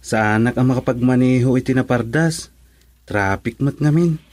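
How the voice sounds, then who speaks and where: A man playing a deferential young-sounding driver in a radio drama, Filipino accent. He speaks politely and hesitantly in a medium-pitched voice, recorded dry. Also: moderate pace, darkish tone, no room sound, lightly acted. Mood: nervous, deferential. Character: deferential, bashful male driver of a rich couple.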